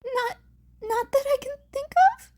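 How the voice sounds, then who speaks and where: Woman voicing an anxious, high-pitched teenage boy. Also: fairly even intonation, measured pace. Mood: nervous. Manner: stammering, flustered. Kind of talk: fan fiction audio reading.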